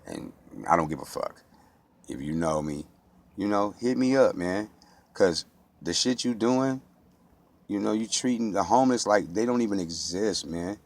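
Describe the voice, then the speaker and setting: A man's voice: on the low side, expressive, moderate pace. An American man speaking off the cuff in an impassioned monologue, blunt and streetwise, with slang and heavy swearing. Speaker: local resident speaking out.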